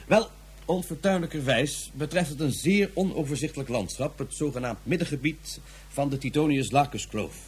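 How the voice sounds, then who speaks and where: A man's voice: on the high side, normal speed, husky. An actor playing an officious male police inspector, speaking in a bureaucratic, pompous way. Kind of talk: radio drama acting.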